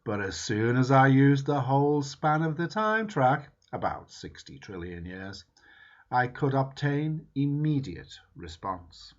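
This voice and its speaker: A man reading a book foreword aloud in a slow, emphatic, dramatic way. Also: medium pitch, very animated. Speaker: reader. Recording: dull lo-fi recording.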